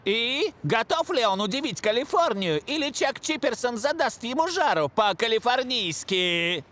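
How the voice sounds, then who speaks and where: An actor voicing a cocky, chatty young footballer in a cartoon, breezy and self-assured. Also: male voice, very high voice, big pitch swings, normal speed, pushed and bright, full character voice.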